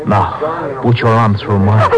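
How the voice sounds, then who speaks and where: Menacing robber male actor, low register, cold and bullying, vintage 1950s radio crime serial. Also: flat delivery, unhurried, lo-fi sound, crowd noise, natural voice. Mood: bullying, urgent. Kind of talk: 1950s radio drama acting. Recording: old 1950s radio broadcast recording.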